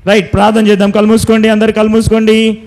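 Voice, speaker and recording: Man, slightly high mellow voice, children's preacher, simple repeated instructions, prayerful. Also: completely flat delivery, very fast, a little hiss or hum. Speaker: children's Bible school leader.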